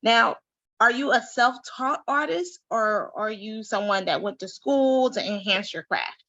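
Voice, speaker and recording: A woman hosts an interview with a bright, forceful tone and lively enthusiasm. Her voice sounds dull and lo-fi, recorded dry with no room echo. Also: on the high side, a little slow. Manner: enthusiastic, exclamatory reactions. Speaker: show host. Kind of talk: upbeat interview hosting.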